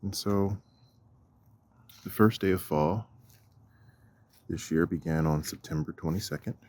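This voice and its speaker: Male meditation guide, very low and husky, measured and unhurried delivery with long pauses. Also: darkish tone, dry recording. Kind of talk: guided walking meditation narration. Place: outdoors on a walk.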